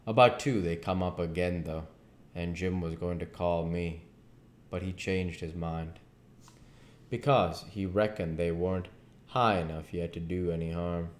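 Low-voiced man reading aloud, echoey room. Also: steady tone, measured pace. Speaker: amateur audiobook reader. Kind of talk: reading a classic novel aloud. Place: living room at home, indoors. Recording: echoey home room recording.

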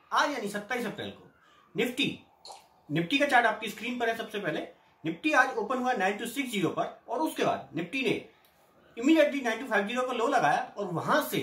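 A middle-aged Indian man hosting a daily stock market update, briskly reading out price levels and tips. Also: high voice. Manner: brisk, reads out price levels in a lively sing-song. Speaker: stock market analyst host. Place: small indoor room with patterned curtain. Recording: echoey room.

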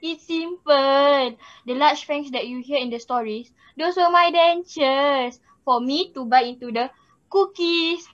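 Young woman doing fussy singaporean granny in a fairytale drama, high voice, muffled call. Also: measured pace. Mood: self-justifying. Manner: emphatic, theatrical complaining. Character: aggrieved, accusing fairy-tale grandmother. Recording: muffled video-call audio.

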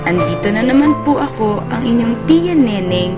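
Auntie storyteller played by a woman, higher-pitched, dramatic serial narration, prominent music bed. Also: animated, normal speed, natural voice. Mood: dramatic storytelling. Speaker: radio drama narrator-host. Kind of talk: scripted radio serial narration.

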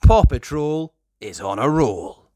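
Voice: mid-range voice, very animated, takes it slowly, warm tone, no room sound, studio quality. A storybook reader voicing a confident, encouraging boy who leads rescue pups. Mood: commanding. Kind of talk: children's storybook character voice.

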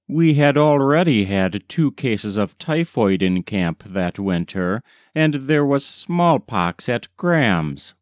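Slow, expressive man reading a story. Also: medium pitch, no echo. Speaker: audiobook narrator. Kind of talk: scripted narration of a short story.

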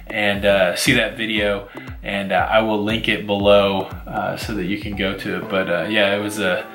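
Bright-voiced man in an echoey room. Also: on the low side, little pitch movement, normal speed, faint music behind. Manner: rambling, many "uh" fillers, self-deprecating. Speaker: vlog co-host. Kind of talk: casual chat. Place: indoors, seated before a virtual backdrop.

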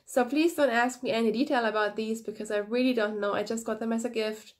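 A young woman with a fairly high voice talks quickly and eagerly to her viewers, a little sniffly from a head cold. She hosts a knitting podcast, and the sound comes from a phone at arm's length in a small room, with no proper microphone. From Germany. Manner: quick, energetic, chatty. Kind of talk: casual chat to camera. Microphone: phone camera at arm's length, no external mic.

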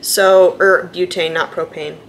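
A woman's voice: on the low side, steady tone, a little slow. A young adult woman chattily explaining a recipe step by step, in a casual home cooking demonstration. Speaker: home cook hosting a cooking vlog. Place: indoors in home kitchens. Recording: clearly noisy home kitchen ambience.

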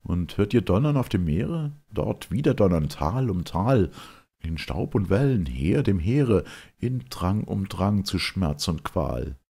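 A fiery, reckless winged youth declaims verse in a theatrical reading, performed by a man. The delivery is impassioned and proud, swinging widely in pitch, with a gravelly, husky edge. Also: very animated, slow pace, warm tone, heavily acted.

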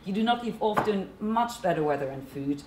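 A woman's voice: mid-range voice, lively intonation, fairly quick, heavy background noise. A woman in her thirties with a German accent, speaking as a panelist in a seminar room, earnest and emphatic. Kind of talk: panel discussion talk. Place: seminar room at a conference table. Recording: echoey room.

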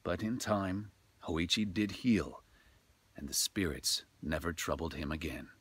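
A man's voice: deep voice, lively intonation, a little slow, slightly rough, dry recording. A middle-aged man who works as a storyteller, talking and narrating in a dramatic way. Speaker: storyteller, voice actor. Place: outdoors in a forest.

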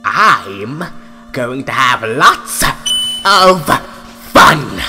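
A man voicing a betrayed skeleton hero turned grimly vengeful, speaking theatrically with dramatic pauses in a comic dub. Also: medium pitch, very animated, heavily acted. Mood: vengeful, intense. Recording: music behind the voice.

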